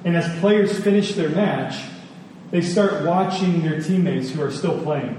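Male preacher, slightly high voice, conversational yet emphatic, heard at a distance in a reverberant hall. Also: dark tone. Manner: conversational, with emphatic repetition and pauses. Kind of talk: sermon with personal stories. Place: large reverberant room with an audience. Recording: echoey hall, distant, faint noise.

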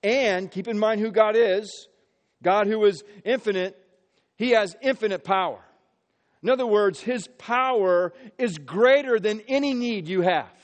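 A man's voice: high voice, measured pace, long reverb tail. A man from the Midwest, preaching an earnest sermon as pastor and often repeating words as he builds his phrases. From American Midwest.

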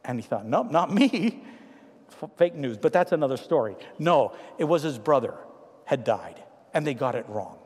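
A man with a Trinidadian accent, a pastor preaching a sermon with humorous stories that give way to quiet, earnest appeals. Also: mid-range voice, moderate pace, slightly rough. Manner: animated anecdotes, then quiet earnest appeals. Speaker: pastor to seniors. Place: church sanctuary. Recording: long hall reverb.

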